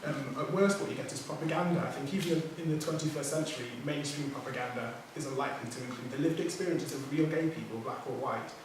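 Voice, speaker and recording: A man who speaks English as his first language, reading a prepared talk as a poet on a writers' panel, measured, with small self-corrections. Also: mid-range voice. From Nigerian heritage, raised outside Nigeria. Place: theatre hall. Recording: echoey hall from far off, background noise.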